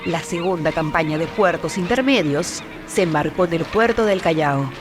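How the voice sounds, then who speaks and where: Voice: mid-range voice, lively intonation, normal speed, music in the background. A narrator delivering scripted historical documentary narration.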